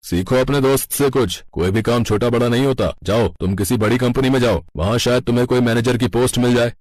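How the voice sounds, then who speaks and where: A man's voice: mid-range voice, a little fast, darkish tone, no room sound, lightly acted. A man voicing a blunt but fair-minded hotel boss in a scripted story. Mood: blunt, scornful. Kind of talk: scripted cartoon story dialogue.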